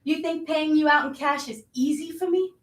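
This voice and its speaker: A woman's voice: sits high, steady tone, normal speed, lightly acted. A woman playing an abrasive, impatient, foul-mouthed restaurant boss in a staged reading, brash, blunt and badgering. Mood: pushy, impatient. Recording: roomy echo.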